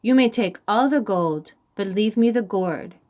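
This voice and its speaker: A woman's voice: mid-range voice, animated, unhurried, a little mellow, lightly acted. Woman playing a greedy, flustered, swooping storybook king. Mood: grudging bargaining. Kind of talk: animated children's storybook read-aloud.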